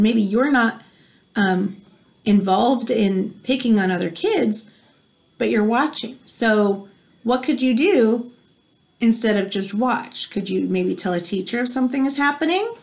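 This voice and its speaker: A woman in her thirties reads a picture book aloud to children in an expressive, teacherly way, pausing to explain things. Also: on the high side, vocal fry. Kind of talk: read-aloud storytelling with explanatory asides for children. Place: small room indoors at home. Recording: home recording with background noise.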